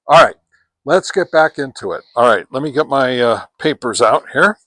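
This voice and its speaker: Male reviewer, mid-pitched, casual and folksy, emphatic repetition, dry outdoor recording without echo. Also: animated, normal speed, a bit bright. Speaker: product reviewer and host. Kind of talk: casual hands-on radio gear review. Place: outdoors on a deck.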